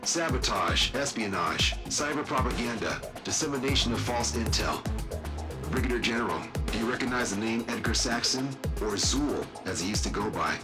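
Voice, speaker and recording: A male actor plays a methodical intelligence analyst in a game cutscene. His low voice is calm and precise, laying out facts like a briefing. A clear music score sits behind him. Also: moderate pace, close to an everyday voice. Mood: grave, factual. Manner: matter-of-fact, precise, quizzing. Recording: music under the voice.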